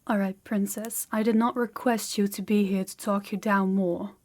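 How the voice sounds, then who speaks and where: A woman's voice: mid-range voice, steady tone, darkish tone, natural voice. Woman playing an imperious, haughty young princess. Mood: conciliatory, measured. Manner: imperious, deliberate, condescending. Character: commanding, haughty young warring-kingdom princess. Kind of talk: scripted fantasy audio roleplay.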